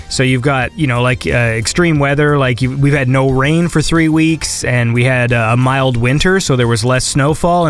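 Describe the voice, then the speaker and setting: A man co-hosting a podcast, rambling and swearing freely in casual chat, with frequent laughs. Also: medium pitch. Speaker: podcast co-host.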